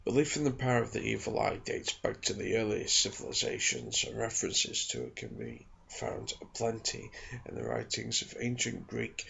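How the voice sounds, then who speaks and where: A man delivers soft-spoken ASMR reading and informal chat. His voice is fairly low and very creaky, with a husky, breath-worn texture. The recording sounds slightly dull. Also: lively intonation, normal speed. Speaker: ASMR video creator and book reader.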